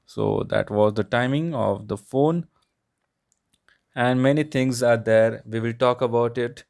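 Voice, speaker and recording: Male tech reviewer, Indian accent, smooth clear voice, close dry sound, explanatory walkthrough delivery. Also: medium pitch, unhurried, a little mellow, no echo. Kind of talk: product review narration, unscripted walkthrough.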